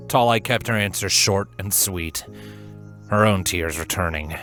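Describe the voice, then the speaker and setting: Low-pitched man narrating a story, earnestly. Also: measured pace, fairly bright tone, soft background music. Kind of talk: expressive scripted fan fiction narration.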